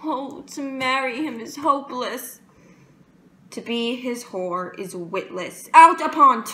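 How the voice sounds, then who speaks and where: Actress as a lovesick young maiden in Shakespeare, high bright voice, emphatic and theatrical, echoey room. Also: female voice, slow pace. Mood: anguished longing. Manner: theatrical, emphatic, with dramatic swells. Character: lovesick young jailer's daughter, yearning and impulsive. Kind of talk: Shakespearean stage monologue. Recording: roomy echo with faint background noise.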